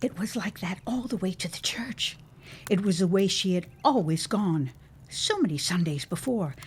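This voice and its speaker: A woman's voice: mid-range voice, expressive, moderate pace, slight background noise, lightly acted. An actress playing a stubborn, sentimental middle-aged widowed mother in a period audio drama, theatrical and insistent with old-fashioned phrasing. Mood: storytelling, eerie.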